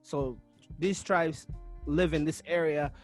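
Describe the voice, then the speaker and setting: Man raised in Uganda, podcast host, higher than usual register; reflective and halting, restarting his thoughts as he goes. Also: fairly even intonation, faint music behind. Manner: thoughtful, searches for words, many restarts. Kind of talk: casual interview chat.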